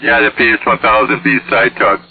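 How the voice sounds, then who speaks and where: A man's voice: mid-range voice, fairly quick, creaky, slight background noise. A man chatting casually over CB radio in a hesitant, folksy way. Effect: radio transmission. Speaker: CB radio operator. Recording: tinny, band-limited CB radio signal.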